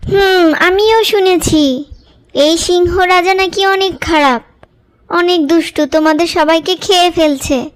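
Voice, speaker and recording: Woman voicing a very high-pitched clever cartoon cow. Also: unhurried. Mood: friendly, helpful. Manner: coaxing, mock-humble. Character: clever, helpful cow who sweetly outwits the powerful. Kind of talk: children's cartoon story dialogue.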